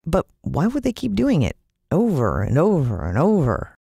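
An American woman narrating a children's story in the first person, expressive and suspenseful. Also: sits low, normal speed, vocal fry, husky, no echo, clean studio sound. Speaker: audiobook narrator.

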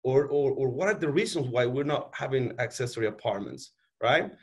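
A man's voice: mid-range voice, lively intonation, brisk. Emphatic man with a Mexican accent. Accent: Mexican Spanish. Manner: emphatic, repeats words, many fillers. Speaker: city community development director. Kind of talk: conference panel presentation. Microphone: webcam on video call.

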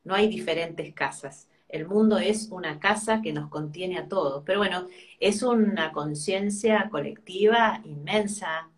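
A woman's voice: lowish voice, measured pace. A middle-aged woman with an Argentine Spanish accent explains things reflectively on a video call, often checking in with short tag questions. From Argentina, born in Brazil. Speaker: host, family constellations teacher. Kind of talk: casual live video conversation, explanatory. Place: furnished room at home.

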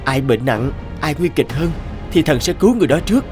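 A humble, principled healer from an animated folk tale, courteous and earnest. He pleads politely but holds his ground, with clear music playing behind him. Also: a little high, brisk. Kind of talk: animated moral tale dubbing.

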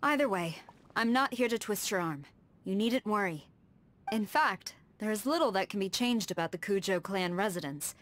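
A woman's voice: medium pitch, expressive, fairly bright tone, close to an everyday voice. A woman voicing a stern, formal tengu warrior. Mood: reserved, polite. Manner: formal, measured, precise diction. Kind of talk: scripted video game character dialogue. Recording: studio voice over background music.

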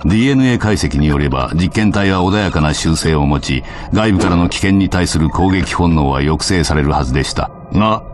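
An actor playing a stiff, formal male scientist in a video game, reciting dryly and officially. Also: low voice. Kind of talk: video game scripted report. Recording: clear noise behind the voice.

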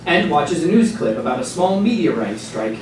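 Highish male narrator in echoey hall. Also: big pitch swings, moderate pace. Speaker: narrator, stage actor. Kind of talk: scripted dramatic narration. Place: small stage before a seated audience. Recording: distant mic, very echoey hall, noisy.

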